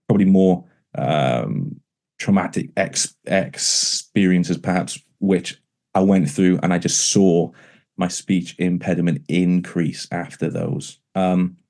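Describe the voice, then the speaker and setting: A man's voice: sits low, dark tone. A man, a pastor, talking in an interview conversation, with a stammer that shows in repeated words and restarts.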